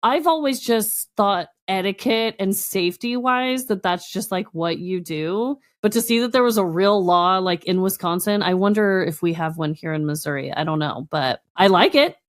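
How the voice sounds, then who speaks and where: A woman's voice: mid-range voice, moderate pace, few pauses, dry recording, clean studio sound. An American woman co-hosting a podcast, chatting casually and reading articles aloud in a giggly, conversational way. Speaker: podcast co-host.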